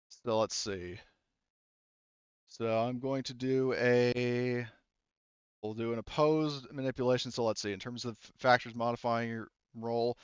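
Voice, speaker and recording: A man running a tabletop roleplaying game as game master, thinking aloud as he explains rules and story in a deliberate way. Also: medium pitch, smooth, no room sound, highs cut off.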